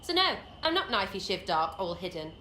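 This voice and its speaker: Lively, cheeky English rogue girl. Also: mid-range voice, fairly quick. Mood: blunt, rambling. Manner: slangy, blunt, rambling, mocking. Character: cheeky, irreverent young rogue archer woman, scrappy commoner. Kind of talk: video game dialogue acting. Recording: roomy echo, faint background noise.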